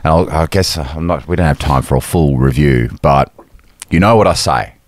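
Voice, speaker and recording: A man's voice: a bass voice, normal speed. A man, co-hosting a podcast, telling stories in emphatic, casual chat and laughing easily. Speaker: podcast co-host.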